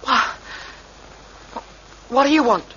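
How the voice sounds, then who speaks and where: A woman's voice: high voice, animated, slow, fairly bright tone, slight background noise, heavily acted. An actress playing a tired but kindly young woman of the streets in an old radio crime drama, earnest, on a muffled recording. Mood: weary, indignant. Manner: earnest, plain-spoken.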